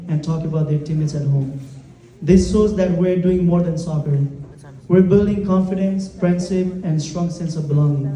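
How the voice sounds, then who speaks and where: This man speaks for a youth soccer program at a gala. He reads formal remarks carefully, with small slips. His voice is fairly high but very dark, and he sounds distant from the mic in a roomy, echoing hall. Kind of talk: prepared speech, then interviewing a child on stage.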